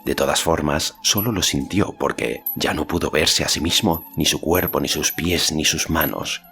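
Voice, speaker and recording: A man's voice: extremely low pitch, normal speed, creaky, pushed and bright, soft background music. A man reading a fantasy novel aloud as scripted audiobook narration.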